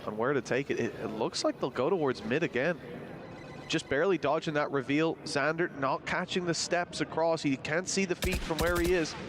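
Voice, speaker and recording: A man's voice: a little high, brisk, noisy background. A man giving live play-by-play commentary on a competitive video game match.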